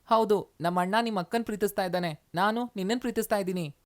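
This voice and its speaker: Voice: medium pitch, fast, lightly acted. A narrator voicing an earnest, polite young male demon in human form for a story. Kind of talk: animated moral story dialogue.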